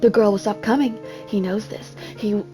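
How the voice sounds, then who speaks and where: A woman's voice: mid-range voice, steady tone, normal speed, mellow. A woman with a mid-range voice reads a game's story aloud with theatrical flair. She breaks off into casual, self-deprecating asides about her own reading, while music plays behind her.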